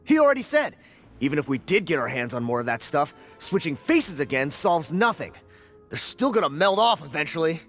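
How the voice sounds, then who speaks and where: A man's voice: a little high, big pitch swings, a little fast, a bit bright, soft background music. An actor playing a sarcastic, combative male prison escapee in a game cutscene. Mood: bitter. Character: bitter hot-tempered male prison escapee.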